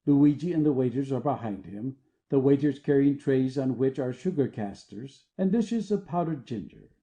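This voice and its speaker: Very mellow, dull-sounding stage-direction narrator. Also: medium pitch, lively intonation, normal speed. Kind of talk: scripted narration.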